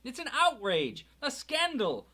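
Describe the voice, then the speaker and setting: A man voicing a huge, gruff but kindly giant with rough, folksy speech in an audiobook reading. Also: mid-range voice, big pitch swings, normal speed, a bit bright. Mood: roaring.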